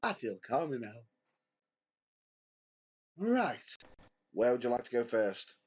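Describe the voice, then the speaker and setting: A man's voice: mid-range voice, big pitch swings, slow pace, a little mellow. A British man co-hosting a casual wrestling chat, given to theatrical, exasperated outbursts. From Britain. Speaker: podcast co-host. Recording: dull lo-fi audio.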